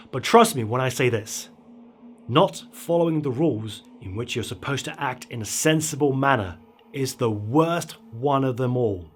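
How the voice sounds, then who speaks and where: A man with a husky voice narrating a horror story in a theatrical, conspiratorial way, speaking straight to the listener. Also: mid-range voice. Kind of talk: dramatic scary story narration.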